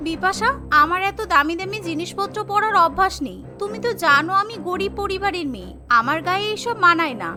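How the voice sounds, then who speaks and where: Young cartoon daughter-in-law, female performer, high, modest and deferential, music underneath. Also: lively intonation, a little fast, hardly any pauses, bright, forceful tone, heavily acted. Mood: modest, polite. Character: meek, polite young bride from a poor family. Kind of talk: cartoon character dialogue.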